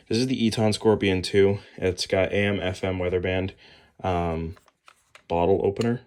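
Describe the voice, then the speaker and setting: A man giving a casual show-and-tell product rundown as a hobbyist video host, informal and somewhat halting. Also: deep voice, a little mellow, slight background noise. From New England, United States.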